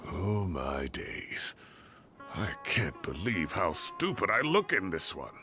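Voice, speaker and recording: A man's voice: low voice, big pitch swings, slow pace, heavily acted. An actor playing a jovial, absent-minded older male professor in a video game, chatty and exclamatory. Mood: embarrassed. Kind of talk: video game character dialogue. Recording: background music under the voice.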